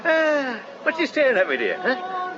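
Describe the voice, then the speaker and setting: Eccentric radio-play professor: high, very lively pitch, teasing, brusque, old-fashioned. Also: male voice, normal speed, heavily acted. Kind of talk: radio drama acting. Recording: muffled radio recording, noisy ambience.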